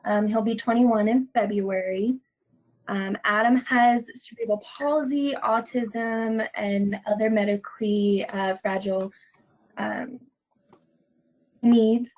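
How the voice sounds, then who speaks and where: A woman's voice: mid-range voice, fairly even intonation, slow, darkish tone, rough recording. A woman in her twenties, a panelist, introducing herself plainly and matter-of-factly on a video call. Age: 20s.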